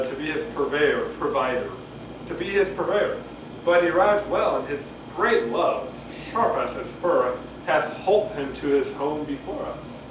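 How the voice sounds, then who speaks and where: Guy with a slightly high voice cold reading a play, kinda rambling n repeating himself, echoey noisy recording. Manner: halting, repeats phrases, muses aloud. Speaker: amateur reader. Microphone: camcorder at a distance. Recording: roomy, distant camcorder mic, loud noise.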